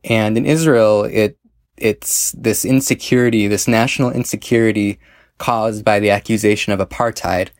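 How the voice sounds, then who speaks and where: A man's voice: lowish voice, no echo, studio quality. Analytical man leaving a voicemail. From United States. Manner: measured, analytical, with some hesitant restarts. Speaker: listener calling in a voicemail. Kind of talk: prepared voicemail commentary. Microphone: phone voicemail.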